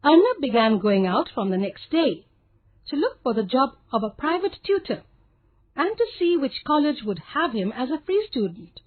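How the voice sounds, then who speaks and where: A woman's voice: high-pitched, very animated, moderate pace. A woman narrating a scripted story for children as a storyteller. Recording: phone-like narrow, lo-fi sound.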